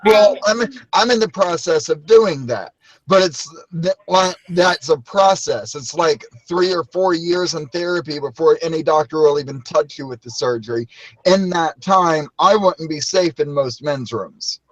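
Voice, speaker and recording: A woman with a deep voice talking bluntly and candidly in a casual group chat, swearing freely. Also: unhurried. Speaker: caller. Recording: dull lo-fi call audio.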